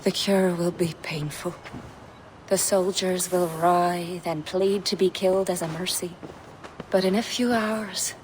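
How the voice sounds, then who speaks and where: An actress playing a mysterious healer woman who speaks in a hushed, solemn way, often near a whisper. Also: on the low side, steady tone, a little slow, a little hiss or hum, lightly acted, low-quality recording.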